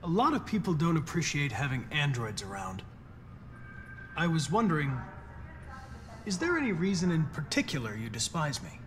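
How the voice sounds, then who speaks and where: Polite, lively android detective. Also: male voice, on the high side, in a large hall, a little hiss or hum. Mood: polite, friendly. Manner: polite, formal, measured wording. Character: polite, eager male android detective partner. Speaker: police investigator. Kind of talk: video game acting.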